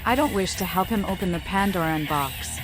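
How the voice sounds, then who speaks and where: A woman's voice: lowish voice, fairly quick, over music, natural voice. A woman playing a mad young vampire who talks in eerie, playful riddles in a video game. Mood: eerie, musing. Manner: speaks in cryptic riddles.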